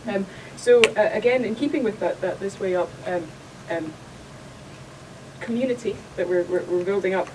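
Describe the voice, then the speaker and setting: A woman's voice: medium pitch, normal speed, far from the mic, noisy background. Scottish woman hosting a panel. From Scotland. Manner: chatty, rambling, many restarts. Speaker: panel host. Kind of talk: hosting a festival panel discussion. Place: conference room.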